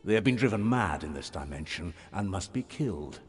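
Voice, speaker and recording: An actor playing a sly, condescending shadow warrior from another realm in a fantasy game, his voice husky. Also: low-pitched, animated, fairly quick. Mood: cold, haughty. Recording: long reverb, music behind.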